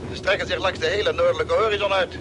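A man's voice: fairly even intonation, very fast, natural voice. An actor playing a practical, earnest crewman on a Mars expedition in an old radio drama. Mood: alert, surprised. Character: plain-spoken, practical male space crewman driving across Mars. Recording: noisy old radio drama, engine rumble.

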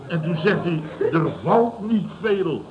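An actor playing a boastful, boisterous builder boss who tells stories in a repetitive, blustering way in a radio comedy. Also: male voice, high-pitched, lively intonation, takes it slowly, noisy background. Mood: smug. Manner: blustering, repetitive storyteller acting out quotes. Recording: dull old radio recording, studio audience.

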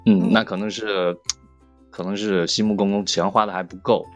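A man's voice: low voice, level tone, moderate pace, faint music behind. A man chatting casually and playfully as a voice actor guest in a group conversation.

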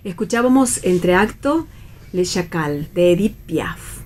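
A woman's voice: on the low side, lively intonation, slow pace, over music. A woman with an Argentine accent hosting a radio programme in conversational talk. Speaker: radio host.

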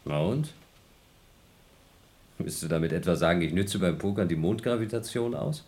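A radio-play astronaut, restless and wry, at a somewhat low pitch. He sounds easygoing and tossed off. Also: expressive, normal speed, a little mellow. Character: male astronaut on a moon station, restless and wry.

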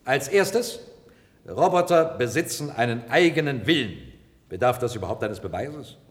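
An actor playing an eloquent, combative defence lawyer in a radio drama, arguing formally with emphatic rhetoric. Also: male voice, medium pitch, animated, moderate pace, natural voice. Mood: insistent, argumentative. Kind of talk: radio drama courtroom oratory. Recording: reverberant courtroom-like hall.

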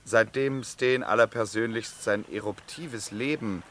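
An actor playing a good-humoured middle-aged count and husband in a literary radio drama, with urbane, formal period diction and wry amusement. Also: male voice, fairly low pitch, unhurried, fairly bright tone, slight background noise. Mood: amused.

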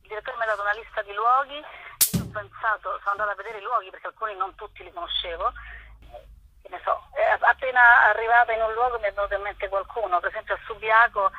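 A woman's voice: mid-range voice, fairly even intonation, quick pace, bright, forceful tone, slightly rough. A talkative woman explaining at length in a casual interview, speaking over a dull, lo-fi phone line. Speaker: arts programme organiser being interviewed.

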